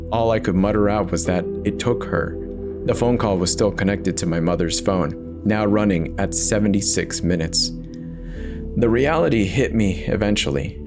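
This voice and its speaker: Low-voiced man narrating horror over music. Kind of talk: dramatic first-person horror reading.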